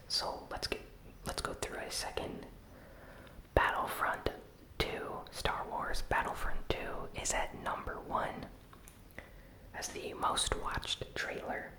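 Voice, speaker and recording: An ASMR host whispering an informal gaming news recap in a breathy, soft, unhurried way. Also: rough recording.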